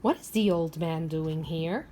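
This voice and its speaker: Lady teacher doing a kids read aloud, really slow, asks lots of questions, bit of background noise. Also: sits low. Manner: expressive, instructive, often asking questions. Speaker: preschool or primary teacher. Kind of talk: children's read-aloud with questions to young listeners.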